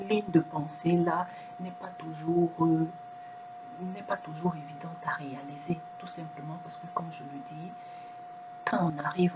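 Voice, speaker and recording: A woman's voice: low-pitched, noisy background. A woman speaking French as a second language, giving an earnest reflective monologue to camera, with frequent restarts and repeated words. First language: not French. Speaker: video presenter.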